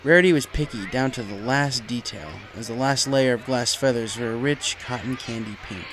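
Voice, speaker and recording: A man's voice: medium pitch, moderate pace, background noise. A man reading a fan fiction story aloud as a scripted narration. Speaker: story reader. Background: music.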